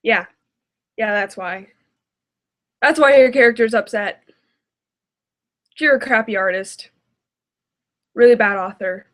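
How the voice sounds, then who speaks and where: Female reaction host, high-pitched, unhurried, casual and blunt with exclamatory bursts. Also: fairly bright tone.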